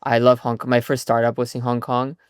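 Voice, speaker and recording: A man in his thirties from Chile, a founder answering interview questions in a relaxed, chatty way. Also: medium pitch, a little fast, smooth, dry recording, studio quality. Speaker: guest, startup founder.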